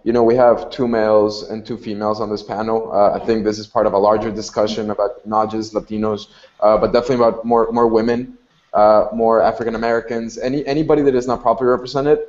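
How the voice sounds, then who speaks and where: A man's voice: lowish voice, flat delivery, fast, darkish tone. A man speaking as a panelist in an online discussion, eager and informal. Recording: dull lo-fi sound.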